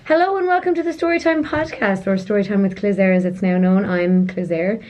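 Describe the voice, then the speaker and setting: A woman hosting a podcast, chatty and quick to laugh. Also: mid-range voice, a little mellow. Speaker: podcast host. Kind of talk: casual podcast chat. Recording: slightly dull, muffled audio.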